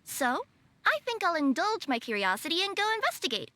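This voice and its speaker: A woman's voice: very high voice, very animated, brisk, pushed and bright, no echo, full character voice. A woman voicing a confident, playful young female yokai courier in a video game, chatty and curious. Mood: breezy, confident. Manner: chatty, breezy.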